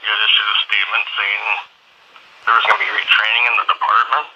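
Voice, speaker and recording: A man conducting an informal phone interview, asking questions in a halting, repetitive way. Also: on the low side, little pitch movement, bright, forceful tone. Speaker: interviewer, community watch member. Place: telephone call. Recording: band-limited phone call with faint noise.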